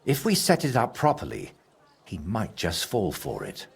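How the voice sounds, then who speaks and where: An actor playing a troubled, scheming male painter in a video game, excitable and theatrical, with a husky voice. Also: fairly low pitch, moderate pace, heavily acted. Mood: gleeful scheming. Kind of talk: video game character dialogue.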